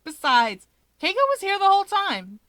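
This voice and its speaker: A woman's voice: very high voice, big pitch swings, moderate pace, bright, forceful tone, dry recording, clean studio sound, pitched above the performer's own voice, full character voice. A woman voicing a shameless, teasing young man, a cocky younger brother in a fan story, talking emphatically. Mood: cocky, indignant.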